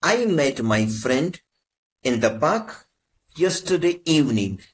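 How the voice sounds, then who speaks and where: Very slow, lively-pitched male teacher. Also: a little hiss or hum. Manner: measured, carefully spaced phrases. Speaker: language teacher. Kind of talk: slow, deliberate lesson prompts.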